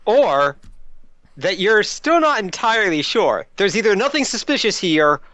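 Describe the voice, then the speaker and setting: A man with a high, bright voice chats casually as a tabletop game player. His pitch moves around in a lively way. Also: unhurried, no echo.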